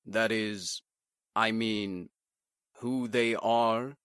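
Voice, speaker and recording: A man playing a bitter, well-bred gentleman, haughty yet hesitant, in 1940s radio drama style. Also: fairly low pitch, steady tone, extremely slow, clean tone, dry recording, studio quality. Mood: guarded.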